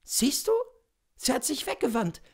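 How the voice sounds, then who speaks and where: A man voicing a nosy, excitable young village girl, gossipy, in a dramatised classic story reading. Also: very high voice, a little slow, vocal fry, husky, voice pushed up, full character voice. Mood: shocked, pitying.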